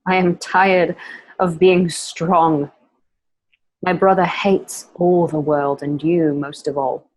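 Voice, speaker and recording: A woman's voice: fairly low pitch, fairly even intonation, slow pace, darkish tone, slightly rough, poor audio. A woman acting an anxious, weary Roman princess, earnest and guarded, in an amateur radio drama. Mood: weary, tender.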